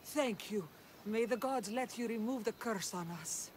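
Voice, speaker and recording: Lady doing an older village leader in a game, solemn, higher voice, faint outdoor noise. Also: unhurried, darkish tone, close to an everyday voice. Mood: grateful. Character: grieving older village leader woman of ancient Greece.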